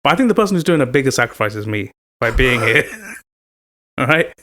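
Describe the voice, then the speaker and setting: A man co-hosting a podcast in casual chat, teasing and animated when he tells a story. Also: medium pitch, a little fast. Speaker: podcast co-host.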